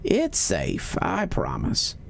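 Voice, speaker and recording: Sinister little horror-story creature, acted by a male narrator: coaxing, insistent, falsely friendly, with lively pitch swings. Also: slow pace, gravelly, slight background noise, heavily acted. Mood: falsely reassuring.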